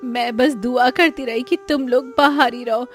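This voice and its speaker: Woman playing a worried village neighbour, high-pitched. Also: fairly even intonation, moderate pace, faint music behind, natural voice. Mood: worried, relieved. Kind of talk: dramatic Hindi cartoon moral story.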